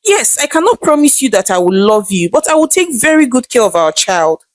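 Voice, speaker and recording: A woman's voice: fairly high pitch, very animated, a little fast, no room sound. Nigerian husband done by a woman storyteller, apologetic, pleading, dry close sound. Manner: earnest, pleading.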